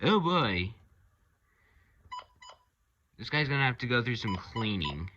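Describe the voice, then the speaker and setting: A man giving a casual, unscripted review of his hobby collection, talking haltingly and pausing mid-phrase. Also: medium pitch, sing-song, pushed and bright, muffled recording, slight background noise. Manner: halting, rambling delivery with pauses mid-phrase.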